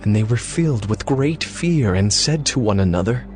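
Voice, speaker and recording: A man narrating a dramatized scripture story in a measured storytelling manner. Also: fairly low pitch, animated. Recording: prominent music behind the voice.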